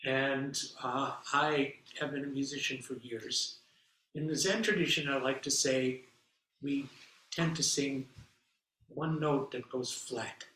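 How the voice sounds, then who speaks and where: A man speaking as an abbot, opening a class with relaxed, hesitant remarks full of pauses. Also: medium pitch. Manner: relaxed, with many fillers and pauses. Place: meditation hall. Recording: echoey hall, mic far off.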